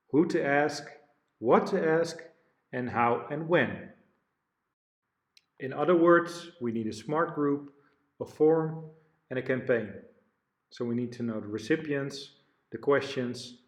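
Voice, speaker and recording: A man narrating a step-by-step software demo, explaining each screen in turn with the odd restart. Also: mid-range voice. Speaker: product demo presenter.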